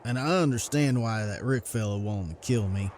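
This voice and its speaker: A man voicing a gentle, guilt-ridden rural hunter in a motion comic, with folksy, plain speech. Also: lowish voice, moderate pace, slight background noise, natural voice. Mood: remorseful. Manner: folksy, ungrammatical country speech. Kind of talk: comic book voice acting.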